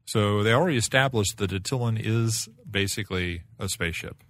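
A man's voice: lowish voice, a little fast, fairly bright tone, dry recording. A man co-hosting a podcast, giving his casual take on comic books. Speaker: podcast co-host. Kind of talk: casual comic book review chat.

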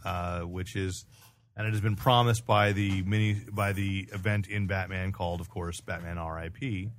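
Low-voiced man chatting casually. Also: fairly even intonation, moderate pace. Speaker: podcast host. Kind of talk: casual chat about comic books.

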